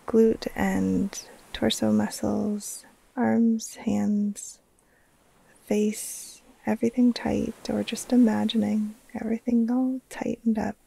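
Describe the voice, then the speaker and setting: Female yoga nidra guide: hushed, mellow and unhurried, breathy near-whisper with heavy vocal fry, soothing short phrases. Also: medium pitch, flat delivery, low-quality recording. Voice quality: breathy, soft, often trailing into near-whisper. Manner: slow, soothing, hushed, with long pauses between short phrases. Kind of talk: guided relaxation meditation.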